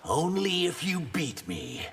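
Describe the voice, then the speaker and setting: A man's voice: fairly high pitch, wildly swooping pitch, slow pace, breathy edge, full character voice. An actor playing an earnest, proud reptilian humanoid man in a video game, formal and sincere in manner. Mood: earnest, guarded. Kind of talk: video game character dialogue. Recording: hall reverb with background noise.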